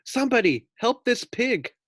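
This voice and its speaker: A man's voice: extremely high pitch, big pitch swings, moderate pace, fairly bright tone, no echo, clean studio sound, pitched above the performer's own voice, exaggerated voice. A man voicing a small male pet pig in a children's storybook, scared but wry, with a droll, plaintive delivery. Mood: anxious, pleading. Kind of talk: children's storybook read-aloud.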